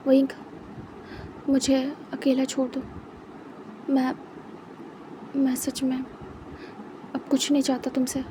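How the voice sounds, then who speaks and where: Hurt young man, voiced by a female narrator; hushed, clipped, even delivery. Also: high voice, normal speed, pauses often, background noise, lightly acted. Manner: quiet, curt and cold.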